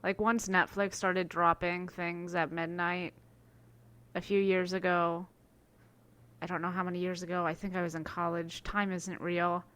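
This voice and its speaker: A woman's voice: on the low side, little pitch movement, moderate pace, fairly bright tone. A young woman from the US East Coast co-hosting a podcast, chatting in a rambling, filler-heavy way. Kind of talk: casual chat.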